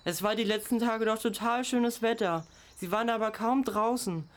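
A mid-pitched female voice acting an easygoing parent. The delivery is practical and conciliatory, as in a school-made radio play. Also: moderate pace, close to an everyday voice. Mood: casual, puzzled.

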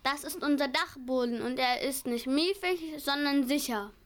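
A woman's voice: high-pitched, moderate pace, slight background noise, natural voice. A female performer playing a cheeky, eager young child with a blunt, know-it-all manner in a children's radio play. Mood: decisive.

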